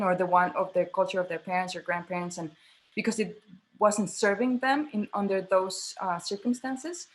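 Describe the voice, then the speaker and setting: A woman's voice: medium pitch, fairly bright tone, poor audio. Earnest woman. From Mexico. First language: Spanish. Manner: earnest, emphatic, searching for words with repeats. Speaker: guest, theatre artistic director. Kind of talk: conversational panel talk.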